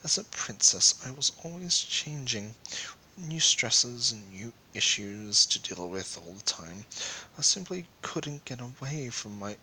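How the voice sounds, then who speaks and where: Bright-voiced man reading a story dramatically. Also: medium pitch, big pitch swings, unhurried, a little hiss or hum, poor audio. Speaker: amateur fan fiction reader.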